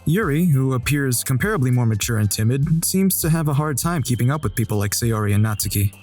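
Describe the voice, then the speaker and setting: A man playing an awkward teenage schoolboy who narrates his own thoughts in a mid-pitched voice. The delivery is even and wryly self-aware, with faint music underneath. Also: fairly quick, close to an everyday voice. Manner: even, wry inner-monologue narration. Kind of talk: fan dub of a visual novel.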